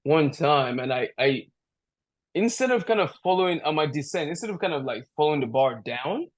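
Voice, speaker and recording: A man's voice: fairly high pitch, expressive, a little fast. A man from Nigeria chatting casually as an interview guest on a video call, easygoing and joking about himself. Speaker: powerlifter, podcast guest. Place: indoor room at home, video call. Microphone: video call, device at a short distance.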